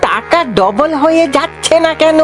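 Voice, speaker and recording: A woman voicing a naive, lazy male fox in a cartoon, chattering excitably and repeating words in a whiny, eager way. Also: sits high, moderate pace, soft background music. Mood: hopeful. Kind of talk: Bangla cartoon voice acting.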